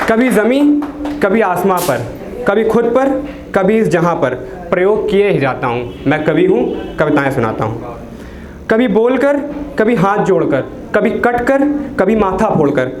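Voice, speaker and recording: High-voiced teenage poet declaiming in a roomy hall. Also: male voice, darkish tone. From India. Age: teens. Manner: declamatory, with a chanted refrain that falls low. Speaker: poet at an open mic. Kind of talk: reciting Hindi poetry. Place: open mic venue. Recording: echoey room with faint noise.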